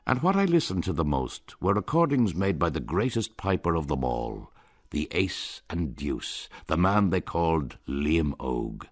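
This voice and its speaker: Very deep Irish male narrator. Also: big pitch swings, a little slow. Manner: wry, ornate storytelling. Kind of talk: radio drama narration.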